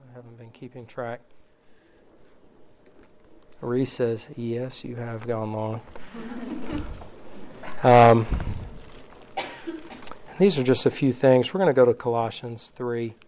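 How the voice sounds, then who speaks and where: A man preaching an informal sermon, reading scripture aloud slowly and conversationally, his voice worn from recent illness. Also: mid-range voice, animated, a little mellow, slight background noise. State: recovering from illness, voice going.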